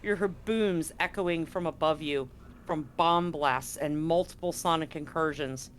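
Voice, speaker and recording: A game master narrating a live tabletop sci-fi game in a halting way, pausing mid-phrase. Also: medium pitch, slight background noise. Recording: music under the voice. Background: background music.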